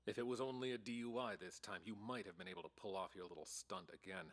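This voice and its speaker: A man's voice: low voice, fast. A man playing a stern, sardonic police detective pressing a suspect in an audio drama. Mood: accusing.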